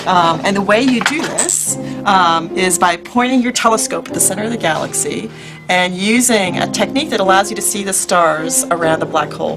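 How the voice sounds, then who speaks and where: A woman astronomer speaking off the cuff in an interview, with halting, hesitant phrasing. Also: medium pitch, rough recording. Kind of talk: unscripted interview explanation.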